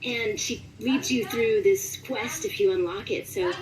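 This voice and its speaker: A woman's voice: on the high side, unhurried, recorded from a distance, rough recording. A woman chatting casually and animatedly as an interviewed voice actor. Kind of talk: casual chat.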